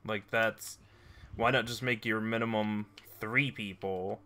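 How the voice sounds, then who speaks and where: A young man streaming a game, chatting casually with friends and explaining things in a dry, drawn-out way. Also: lowish voice, fairly even intonation, sharp tone. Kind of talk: casual gaming chat.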